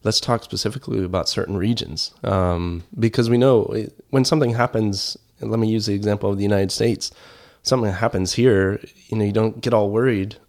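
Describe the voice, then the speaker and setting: A man from Kenya talks as a guest in a conversational interview. His voice sits fairly low, and he explains things earnestly, repeating phrases to press a point. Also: steady tone, fairly quick, few pauses, a little mellow. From grew up in Kenya.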